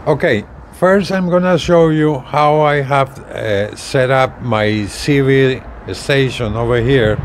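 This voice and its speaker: A man in his sixties walks through a piece of equipment in a casual, plain-spoken way. He talks slowly, at a middling pitch, with halting phrasing. It is recorded outdoors on a balcony, with noticeable ambient noise behind him. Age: 60s. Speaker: gadget reviewer. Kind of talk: casual product demonstration, explaining step by step.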